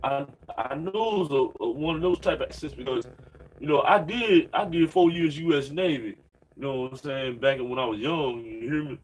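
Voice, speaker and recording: A man's voice: fairly high pitch, moderate pace, poor audio. Slangy, rambling man in his late thirties. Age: 30s. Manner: slangy, rambling, fills with "man" and "you know what I'm saying". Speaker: religious preacher. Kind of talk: informal preaching monologue with scripture reading.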